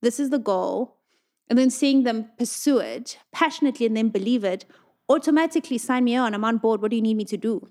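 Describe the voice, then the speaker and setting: A woman with a fairly high voice, co-hosting a relaxed couples' Q&A. She reads the questions aloud and chimes in with warm agreement before adding her own view. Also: fairly quick. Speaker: podcast co-host, entrepreneur. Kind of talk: casual couple Q&A chat.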